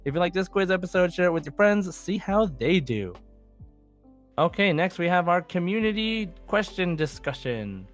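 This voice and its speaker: A man's voice: high voice, sing-song, normal speed, faint music behind. A man hosting a podcast in a casual, chatty style, as a quizmaster.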